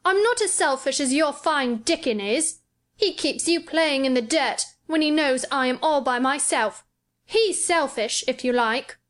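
Woman voicing a petulant, high-pitched sickly boy. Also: lively intonation, a little slow, a bit bright. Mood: angry, sneering. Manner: petulant, imperious, whining. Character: spoilt, sickly, self-pitying, bad-tempered young boy.

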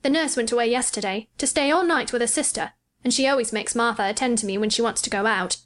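A woman's voice: fairly high pitch, quick pace, a bit bright, voice pushed up, natural voice. A woman voicing a lonely, imperious and secretive young boy in an audiobook. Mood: matter-of-fact. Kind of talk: audiobook character voice.

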